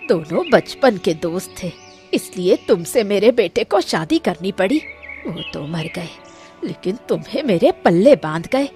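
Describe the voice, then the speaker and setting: A woman's voice: mid-range voice, big pitch swings, measured pace, a little mellow, faint music behind. A woman voicing a bitter older mother-in-law in a cartoon story, harsh and taunting. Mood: resentful taunting. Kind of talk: Hindi cartoon story dialogue.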